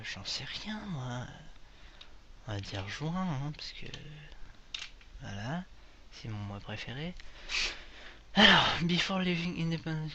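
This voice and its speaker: A man's voice: mid-range voice, sharp tone, muffled recording, a little hiss or hum. A young man casually commenting on a game as he plays, joking and reading the English on-screen text aloud. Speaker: gaming video creator. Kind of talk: casual let's play commentary.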